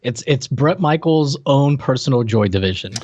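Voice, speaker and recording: A man's voice: mid-range voice, lively intonation, normal speed, a little mellow, muffled recording. A man chatting casually as a podcast host, with a joking, teasing manner. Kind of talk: casual chat.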